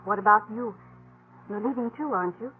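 A woman's voice: mid-range voice, fairly quick, natural voice. A woman playing an anxious, devoted young frontier woman in a 1950s radio drama, pleading emotionally. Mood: tender. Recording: noisy, tinny old radio recording.

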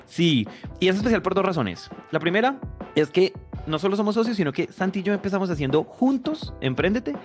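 A man's voice: high-pitched, very animated, a little fast, faint music behind. A man, co-hosting a podcast and narrating a founder's story in an upbeat way. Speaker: podcast co-host.

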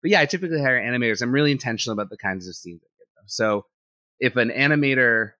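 A man, an animator and director, talking in a relaxed interview and thinking out loud with lots of "like" fillers. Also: mid-range voice, rapid-fire, no room sound. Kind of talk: casual interview conversation.

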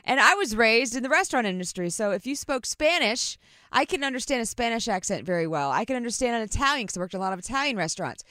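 Lively, expressive woman radio co-host. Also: a little high, fast talker, no room sound, clean studio sound. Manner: animated, self-mocking storytelling. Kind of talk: morning radio banter. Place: radio studio.

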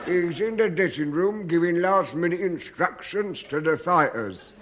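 An actor playing a resentful, muttering working-class sweeper with an English accent in a 1950s radio comedy. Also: male voice, on the high side, expressive. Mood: surly. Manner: muttering, aggrieved, slow. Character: grumbling, resentful working-class sweeper, proud of his craft. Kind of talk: 1950s British radio comedy character acting. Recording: noisy old radio comedy recording.

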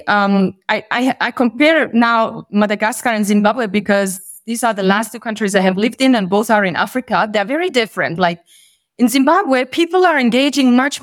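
A woman from Bosnia and Herzegovina, a native Bosnian speaker, talking as an interview guest in a halting way with many pauses. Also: medium pitch. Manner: fluent but halting, many ums and restarts. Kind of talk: conversational interview.

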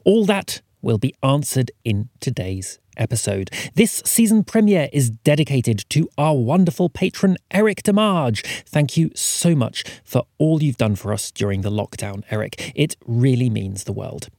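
A man's voice: mid-range voice, big pitch swings, moderate pace, hardly any pauses, darkish tone, no room sound, clean studio sound. A man hosting a podcast, reading a scripted announcement. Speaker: podcast host.

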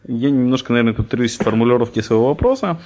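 A man's voice: mid-range voice, animated, rapid-fire, a little mellow. A man hosting a podcast, asking his guest questions in a casual, self-deprecating way. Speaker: podcast host.

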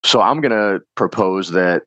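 A man with a slightly hoarse, raspy voice, a chef from Chicago talking in a laid-back, slangy way with casual swearing. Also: low-pitched, lively intonation, moderate pace, a bit bright, no room sound. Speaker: chef guest. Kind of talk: casual interview chat. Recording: dull, lo-fi podcast mic.

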